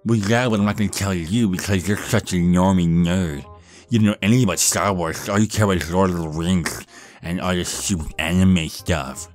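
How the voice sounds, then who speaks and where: A man's voice: low voice, fairly even intonation, fairly quick, soft background music, natural voice. A man voicing a dorky young male vampire, pedantic, whiny and condescending, in a goofy roleplay monologue. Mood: smug, argumentative. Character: nerdy, dorky young male vampire, petulant Star Wars know-it-all.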